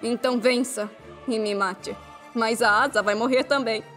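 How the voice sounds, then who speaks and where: A woman's voice: a little high, steady tone, normal speed, fairly bright tone, natural voice. A Brazilian woman voicing a troubled schoolgirl and the cold devil sharing her body, blunt and questioning, in an anime-style fan dub. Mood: cold, provoking. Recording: music behind the voice.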